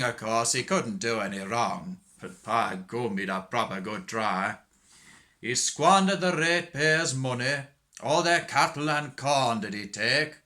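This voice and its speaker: A man's voice: mid-range voice, bright, forceful tone. A man playing a droll working-class storyteller, reciting a rhymed comic monologue in a singsong, tongue-in-cheek way.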